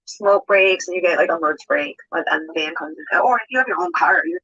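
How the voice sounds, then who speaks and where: Woman vlogger: rambling with lots of fillers, gravelly fry, dull lo-fi sound. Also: on the low side, animated, a little fast, pushed and bright. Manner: rambling, hesitant, full of fillers. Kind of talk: casual vlog talk. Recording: muffled lo-fi clip.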